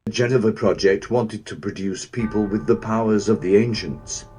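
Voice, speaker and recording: A man playing a cold, bitter, grandiose warrior villain in a fan-dubbed video game, speaking in a measured, contemptuous way. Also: on the low side, steady tone, dark tone, soft background music, lightly acted.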